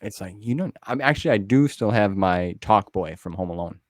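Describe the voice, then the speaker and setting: Casual man in his late thirties, lowish voice. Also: expressive, fairly quick, dry recording, studio quality. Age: late 30s. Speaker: interviewer and show host. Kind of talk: casual interview chat.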